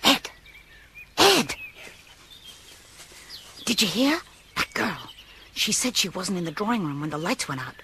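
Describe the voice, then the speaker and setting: A woman's voice: medium pitch, big pitch swings, measured pace, pauses often, background noise. An actress playing a tough, scheming female crook in a radio play, snappy, bossy and slangy. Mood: excited scheming. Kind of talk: 1980s radio drama acting.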